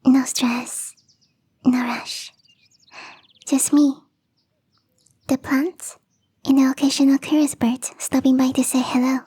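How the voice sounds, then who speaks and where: A woman playing a shy, playful young catgirl gardener in an intimate ASMR roleplay, speaking softly and hesitantly in a breathy half-whisper. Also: sits high, level tone, slow, long pauses, full character voice. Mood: wistful, serene. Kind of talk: ASMR roleplay, intimate one-sided dialogue.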